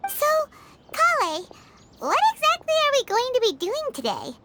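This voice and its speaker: A woman voicing a tiny childlike flying fairy companion in a video game, squeaky, chatty and inquisitive. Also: wildly swooping pitch, moderate pace, heavy background noise, heavily acted. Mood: curious, doubtful. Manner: chatty, inquisitive, refers to self by name. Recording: music behind the voice.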